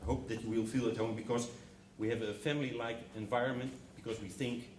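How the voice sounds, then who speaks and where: A man's voice: mid-range voice, little pitch movement, fairly quick, a little hiss or hum. Hesitant man speaking in a roomy hall. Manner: hesitant, many fillers. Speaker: broadcasting executive welcoming guests. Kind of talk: formal welcome speech in English. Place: hall at a contract signing ceremony. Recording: roomy, distant hall sound.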